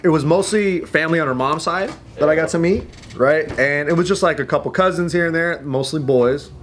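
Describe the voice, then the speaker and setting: A man's voice: on the high side, brisk, slight background noise. A young man co-hosting a casual chat show, telling a travel story in a laid-back way, laughing often and swearing casually. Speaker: podcast co-host.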